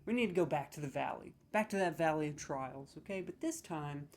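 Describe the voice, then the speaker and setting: A man hosting a comedic gaming video, talking in an excitable, rambling, sarcastic way and swearing freely. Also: fairly high pitch, a little fast, a little hiss or hum.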